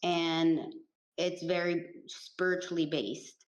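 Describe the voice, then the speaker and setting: A woman's voice: lowish voice, fairly even intonation, takes it slowly, reverberant, rough recording. A woman in her forties who grew up in the Northeast, answering interview questions in a laid-back, straightforward way. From Puerto Rico, raised in the Northeastern US. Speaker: guest, life coach.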